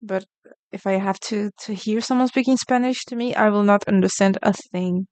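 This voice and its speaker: Smooth-voiced woman chatting on stream. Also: mid-range voice, moderate pace, a little mellow, no room sound. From Brazil. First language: Portuguese. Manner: chatty, reacts to chat messages. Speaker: artist streamer. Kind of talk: casual live-stream chat while drawing.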